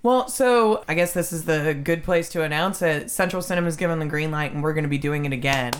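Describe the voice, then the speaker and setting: A woman's voice: deep voice, fairly quick. A woman guest on a podcast, chatting casually and laughing easily. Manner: laughs easily, short reactions. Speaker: guest comedian. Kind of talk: casual chat.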